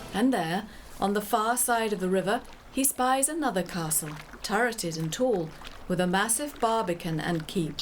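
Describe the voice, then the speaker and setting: Lady narrator telling a story, dramatic read, highish voice, music going behind her.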